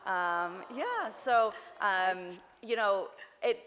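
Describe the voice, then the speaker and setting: A young woman with a slightly hoarse voice, leading a worship service in a chatty, friendly way with many fillers. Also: medium pitch, lively intonation, extremely slow, fairly bright tone. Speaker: service leader. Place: synagogue sanctuary. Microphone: body mic. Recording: long reverb of a sanctuary.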